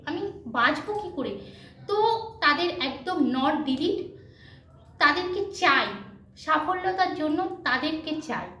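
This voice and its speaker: A woman's voice: very high voice, sharp tone. A woman chatting motivationally to her followers, emphatic, repeating her points and mixing in English words. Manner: mixes English words into speech. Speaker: video blogger. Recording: echoey room with faint background noise.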